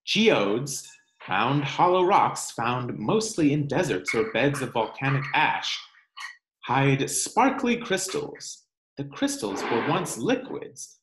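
A man reads a picture book aloud in an expressive, deliberate, teacherly way. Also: medium pitch. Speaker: children's read-aloud presenter. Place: indoor room at home. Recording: roomy home webcam sound.

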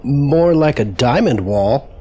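An actor playing a reckless young space explorer with a bold, excitable delivery. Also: male voice, mid-range voice, slow pace, breathy edge. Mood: cocky, excited. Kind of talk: audio drama acting.

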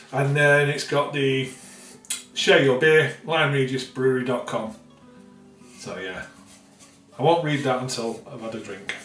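A man's voice: medium pitch, fairly bright tone. A middle-aged man talks through a beer tasting in his home kitchen, circling back on his words with plenty of ums and repeats. The sound is roomy and a little distant from the camera mic. Faint music plays underneath. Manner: rambling, repeats himself, many fillers. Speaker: beer reviewer. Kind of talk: casual beer tasting review.

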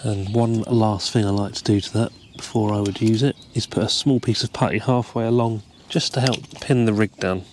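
A man, calmly explaining step by step how to tie a fishing rig, recorded outdoors. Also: lowish voice, normal speed, few pauses, a little hiss or hum. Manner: relaxed, explains each step while tying. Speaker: carp fishing angler demonstrating a rig. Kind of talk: casual step-by-step tutorial. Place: outdoors by the water, seated over a tackle box.

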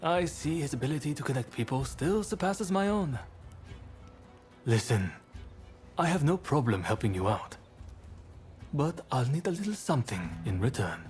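Guy as serious calm samurai thinker, medium voice, measured, faint game music in back. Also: mid-range voice, natural voice. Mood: earnest, grave. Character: earnest, composed samurai strategist with quiet conviction. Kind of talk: video game cutscene acting.

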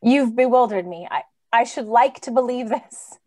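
Radio drama heroine, female actor: young, earnest, idealistic crusader with a fairly high voice. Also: moderate pace, dark tone, close to an everyday voice. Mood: doubtful. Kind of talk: old-time radio drama acting.

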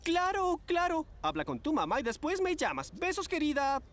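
A woman's voice: sits high, sing-song, normal speed, slight background noise. A woman voicing a gushing, theatrical young male modeling agent in a children's cartoon, with a Latin American accent. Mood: gushing excitement. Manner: effusive, theatrical. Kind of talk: dubbed children's cartoon acting. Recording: light music behind the voice.

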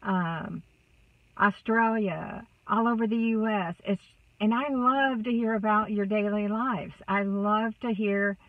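A woman's voice: mid-range voice, slow, dry recording, far from the mic. A woman of sixty with a Texas accent, chatting casually to a phone camera inside a car, rambling with many fillers. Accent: Texan. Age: 60s. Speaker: vlogger. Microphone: phone camera close up. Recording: dry, thin phone-like sound.

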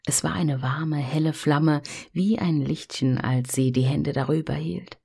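A woman storyteller reading a fairy tale in an expressive, gentle and hushed manner. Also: low voice, unhurried, vocal fry, husky.

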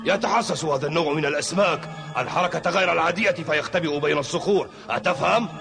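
A man's voice: on the high side, quick pace, vocal fry, fairly bright tone, faint music behind. An actor voicing an eccentric old male kung fu master who gives cryptic orders in a cartoon dub. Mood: instructing. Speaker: kung fu coach.

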